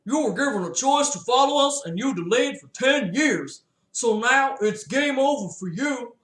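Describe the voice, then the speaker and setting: A woman doing a gruff drawling dad in a roomy space. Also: sits high, expressive, normal speed, pitched above the performer's own voice. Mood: menacing. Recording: roomy echo.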